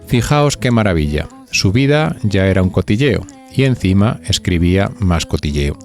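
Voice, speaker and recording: A man's voice: sits low, sing-song, normal speed, faint music behind. A man with an accent from Spain hosting a podcast, narrating in a chatty, scripted gossip style with a playful, confiding manner that speaks straight to listeners. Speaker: podcast host.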